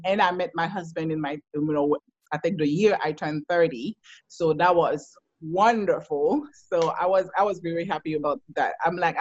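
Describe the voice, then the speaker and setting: A woman in her thirties telling her life story as an interview guest, laughing often and getting animated when she quotes herself. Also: fairly low pitch, no room sound. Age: 30s. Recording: dull lo-fi sound.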